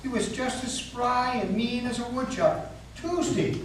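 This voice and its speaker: A man's voice: very high-pitched, unhurried, far from the mic, voice pushed up. A man playing a frightened, stubborn rural farmer in a stage reading, with shaky, plain rustic speech. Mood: frightened, insistent. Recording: echoey hall from afar, faint noise.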